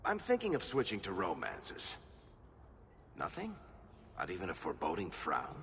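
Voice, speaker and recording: A man's voice: sits low, wildly swooping pitch, normal speed, vocal fry, fairly bright tone, in a large hall, slight background noise, full character voice. An actor playing a cheeky, cynical storyteller man in a video game, teasing in a wry manner.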